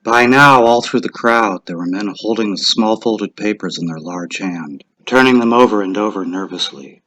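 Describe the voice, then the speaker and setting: A man narrating a short story in a measured, expressive, suspenseful dramatic reading style. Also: on the low side, poor audio.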